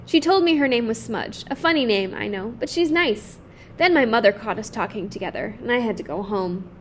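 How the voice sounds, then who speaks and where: A woman's voice: a little high, animated, fairly quick, slight background noise, close to an everyday voice. A woman voicing a lonely, timid little boy in a picture book, wistful and earnest. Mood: tentatively pleased. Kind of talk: children's picture book read-aloud.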